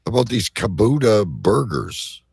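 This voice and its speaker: A man with a low, creaky, husky voice chats as a show co-host. His delivery is casual, with pitch that swings in a lively way. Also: unhurried, dry recording, clean studio sound. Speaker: co-host of a live online show. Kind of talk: casual chat.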